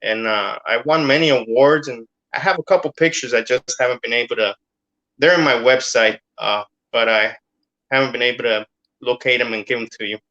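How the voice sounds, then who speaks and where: A man's voice: mid-range voice, fairly quick, sharp tone, dull audio. A middle-aged man from Cuba, a guest in a casual interview, talking hesitantly with many fillers.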